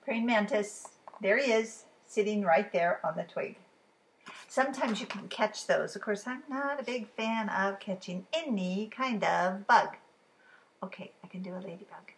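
A woman in her fifties reading riddle poems aloud for a children's storytime, expressive and deliberate, with chatty asides to the listeners. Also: medium pitch. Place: living room at home, indoors. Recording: clearly noisy room recording.

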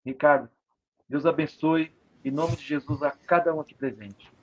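A man's voice: a little high, moderate pace. A man in his fifties giving an earnest short devotional on a video call from his home, with a repetitive preaching cadence. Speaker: lay preacher. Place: home room with large windows. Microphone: laptop webcam mic on a video call. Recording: dull lo-fi video call audio.

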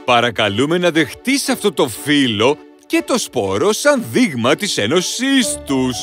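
A jovial high-voiced cartoon king. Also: huge pitch swings, fairly bright tone, faint music behind. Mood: gracious. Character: jovial grown male king, warm and generous. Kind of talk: Greek cartoon dub acting.

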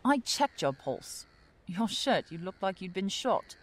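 Feisty woman with animated delivery. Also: medium pitch, unhurried. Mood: incredulous. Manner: sharp-tongued, challenging, sarcastic. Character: feisty, skeptical, indignant woman on an island. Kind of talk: audio drama acting.

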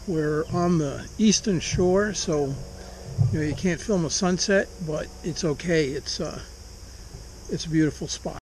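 A middle-aged man chatting casually outdoors as a motorcycle travel vlogger, relaxed and rambling with many fillers. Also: on the high side, a little slow, rough recording. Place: outdoor campsite picnic table. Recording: outdoor wind and ambient noise.

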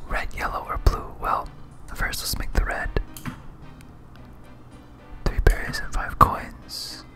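A gamer speaking in a breathy whisper, giving soft, rambling ASMR commentary while playing a video game. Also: takes it slowly, soft background music.

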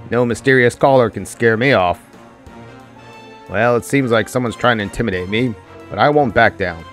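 A man's voice: mid-range voice, normal speed, a bit bright, soft background music, lightly acted. A man playing an intrepid, confident private detective in a theatrical old-time radio serial style. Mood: defiant. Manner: unflappable, theatrical. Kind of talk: 1940s radio detective acting.

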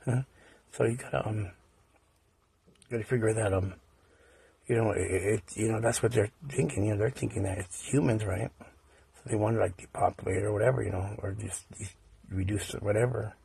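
Male casual narrator, hoarse and gravelly, halting delivery with rhetorical questions, dry close sound. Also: on the low side, fairly even intonation, dark tone, no echo. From United States. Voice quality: raspy. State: hoarse after a recent stomach virus. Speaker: video narrator. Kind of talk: casual rambling commentary.

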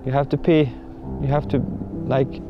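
A middle-aged man, a reindeer herder speaking haltingly in simple second-language English in a reflective interview. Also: medium pitch, little pitch movement, background noise. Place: outdoors on open tundra. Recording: muffled sound with music behind.

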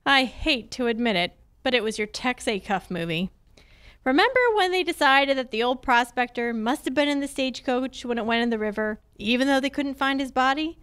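A woman's voice: sits high, a little fast, fairly bright tone, clear, resonant tone. A woman playing a confident, wry female private detective in an old-time radio drama, reading from a script on stage. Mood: confident, explaining. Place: on a stage, live performance. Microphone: handheld-style microphone on a stand.

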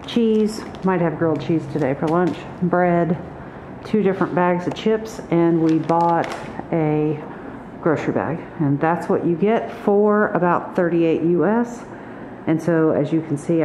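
A middle-aged woman with a lowish voice talks casually through a haul for her vlog. She is plain-spoken and itemizes as she goes. The sound is roomy and echoey, with clear background noise. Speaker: vlogger. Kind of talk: casual grocery haul narration. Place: hard-surfaced kitchen indoors. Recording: echoey, muffled, noisy room recording.

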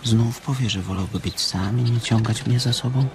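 An actor playing a big, simple-minded, fearful farm labourer in a radio drama, creaky, childlike and plaintive. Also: male voice, mid-range voice, normal speed, close to an everyday voice. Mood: fretful.

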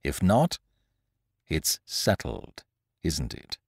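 A man voicing a teasing, boastful gentleman thief in an audiobook, mocking and theatrical, full of condescending rhetorical questions. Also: a little high, wildly swooping pitch, unhurried, creaky, no echo, clean studio sound, voice pushed up, exaggerated voice. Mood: cold menace. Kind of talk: dramatised audiobook character dialogue.

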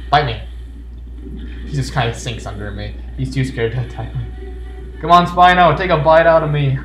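A man's voice: fairly high pitch, echoey room, a little hiss or hum. A man giving casual video game commentary with jokey, playfully sarcastic asides. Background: video game music.

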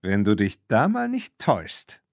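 A man's voice: fairly low pitch, wildly swooping pitch, measured pace, vocal fry, no echo, clean studio sound, exaggerated voice. Guy doing a blunt snappy teen girl for an audiobook, really swoopy intonation, challenging. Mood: grudgingly impressed. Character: feisty, blunt teenage girl, quick to bristle.

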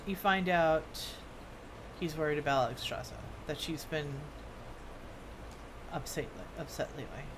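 A woman game streamer casually commenting on her play and reading quest text aloud, musing and halting as if to herself. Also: deep voice. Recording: clear game ambience and noise. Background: video game ambience.

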